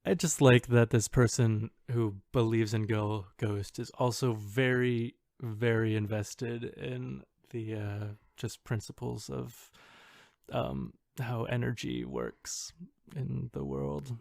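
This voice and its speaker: A man talks as a casual podcast co-host with a dry, hesitant delivery. His pitch is average for a man, and the recording is dry, with little room sound. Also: takes it slowly, creaky, a little mellow, no room sound. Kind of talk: casual chat and reading posts aloud.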